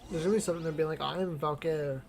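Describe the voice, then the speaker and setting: A woman's voice: sits low, steady tone, very fast, creaky, background noise. A woman streamer giving casual, sarcastic commentary while playing a game. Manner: sarcastic, swearing. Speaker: gaming streamer. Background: faint game music.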